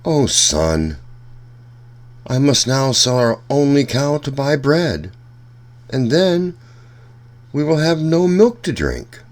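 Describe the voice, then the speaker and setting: Man putting on a high-pitched, distraught storybook mother. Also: sing-song, slow, pauses often. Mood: sorrowful. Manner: pleading, exclaiming. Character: poor widowed mother, overworked, distraught and scolding. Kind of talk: children's storybook read-aloud.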